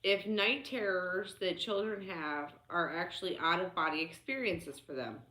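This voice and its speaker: A woman's voice: on the low side, normal speed, pushed and bright. A woman co-hosting a chat show, reading listener questions out in an easygoing, plain way. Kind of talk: casual chat. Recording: roomy echo.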